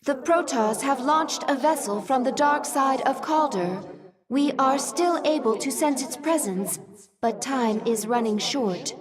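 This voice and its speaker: A dutiful alien brood advisor. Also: female voice, sits high, a little slow, a crowd in the background. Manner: reporting, dutiful.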